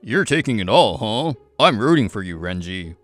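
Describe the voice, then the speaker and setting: A man's voice: fairly low pitch, big pitch swings, normal speed, fairly bright tone, faint music behind. A man playing an affectionate, easygoing brother in a manga drama, teasing and supportive. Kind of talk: scripted manga drama dialogue.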